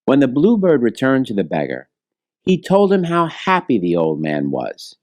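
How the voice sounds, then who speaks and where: Man narrating a children's story, mid-range voice, animated melody, recorded dry. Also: medium pitch, moderate pace, dry recording.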